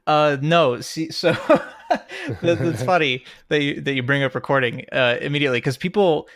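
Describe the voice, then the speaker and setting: A man's voice: fairly high pitch, moderate pace, fairly bright tone. A young American man, a guest on a podcast, chatting casually and laughing often, with plenty of fillers and restarts. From United States. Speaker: guest, game composer. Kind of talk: casual interview chat.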